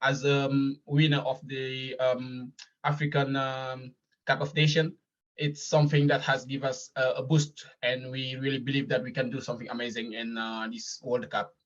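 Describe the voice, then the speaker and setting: Senegalese male fan on a call, calm and hesitant, slightly dull audio. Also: medium pitch, moderate pace. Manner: hesitant, many ums, calm. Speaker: guest fan. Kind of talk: interview over a call. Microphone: phone or video call.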